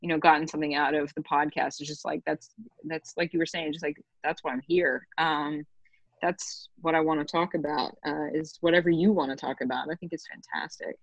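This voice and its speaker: Woman podcast host, very low voice, dry close sound, dull lo-fi audio, rambling and gushing, casual chat. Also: fairly even intonation, a little fast, creaky, no echo. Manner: gushing, rambling, filler-heavy.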